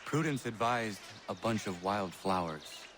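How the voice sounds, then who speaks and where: An actor playing a calm, earnest young male assassin in a video game, with measured, formal speech. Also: fairly low pitch, a little hiss or hum. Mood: calm, polite. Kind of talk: video game cutscene acting.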